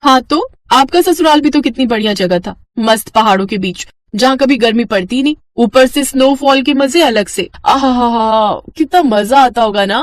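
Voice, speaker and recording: A woman plays an excitable young daughter in a moral story cartoon. She speaks high and dramatically, pouting in slangy bursts, in a dry recording. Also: moderate pace, few pauses, dry recording, natural voice. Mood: dreamy, excited. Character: whiny, spoiled, excitable young daughter dreaming of mountains. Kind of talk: animated Hindi moral story dialogue.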